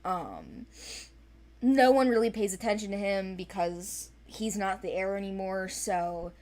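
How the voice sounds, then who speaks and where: Woman, mid-pitched voice, creator talking through characters in a loose, enthusiastic, self-correcting way. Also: expressive, measured pace, a little mellow. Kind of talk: casual character discussion.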